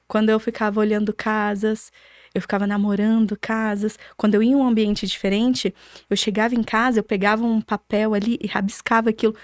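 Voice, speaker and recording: A woman's voice: a little high, darkish tone, no echo, studio quality. A woman from Paraná with a Brazilian accent, a podcast guest telling her story casually and reflectively in short listed phrases. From Cambé, Paraná, Brazil.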